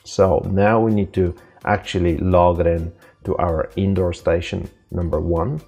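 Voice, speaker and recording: A man with a very low, husky and creaky voice presents a software tutorial. He talks informally and haltingly, taking the listener through each step without a script, with faint music behind him. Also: fairly even intonation, dull audio. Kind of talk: unscripted software walkthrough.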